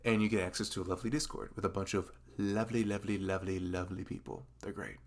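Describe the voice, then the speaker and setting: A man's voice: low-pitched, quick pace. A man with a velvety voice making a casual, earnest, rambling announcement as an audio creator.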